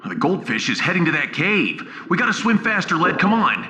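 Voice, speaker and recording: A man playing an excitable but wary human spaceship captain in a sci-fi audio drama, animated and exclamatory. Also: high-pitched, fairly quick, voice pushed up. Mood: excited. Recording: echoey reverb with faint noise.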